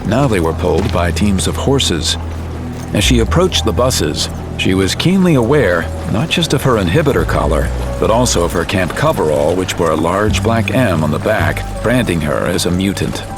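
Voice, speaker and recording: A male narrator with a deep voice reads a scripted audio drama. His storytelling is serious and measured, and music runs clearly underneath. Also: sing-song.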